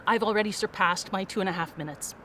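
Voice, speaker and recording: A woman delivers a prepared appeal in an earnest, encouraging tone. Her voice sits at a middle pitch. A faint hiss of background noise runs under her words. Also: quick pace, bright, forceful tone. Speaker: fundraising speaker. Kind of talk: prepared speech.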